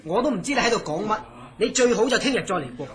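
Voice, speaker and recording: A woman's voice: mid-range voice, lively intonation, fast, natural voice. A woman playing a firm, polite police officer in a horror radio drama, curt and official, with a Hong Kong Cantonese accent.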